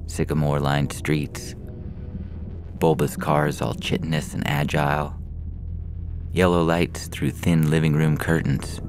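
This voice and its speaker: A man's voice: rumbling low, vocal fry. A man reading a science fiction story aloud as a scripted narration.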